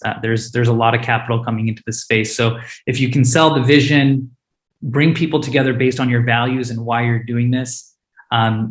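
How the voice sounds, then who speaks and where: A man's voice: medium pitch, echoey room. A man, a startup founder with Hindi as his first language, answering panel questions earnestly and openly, with frequent fillers and restarts.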